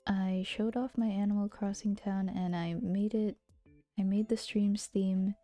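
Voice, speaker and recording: A woman streamer chatting casually in a soft, gentle, often half-whispered voice, with playful teasing and giggles, speaking close to the mic. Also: medium pitch, steady tone, unhurried, soft background music. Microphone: close to a regular mic.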